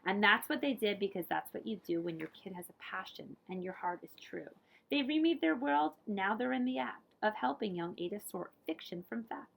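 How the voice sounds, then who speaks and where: A woman reading a picture book aloud to children as a librarian, with expressive, dramatic emphasis. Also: medium pitch, moderate pace, creaky, rough recording. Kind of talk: animated storybook read-aloud.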